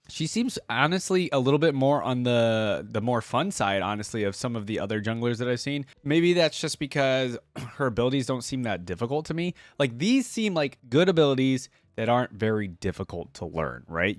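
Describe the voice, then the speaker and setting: A man's voice: medium pitch, animated, moderate pace, few pauses, no echo. A man hosting a reaction video, commenting casually as he watches.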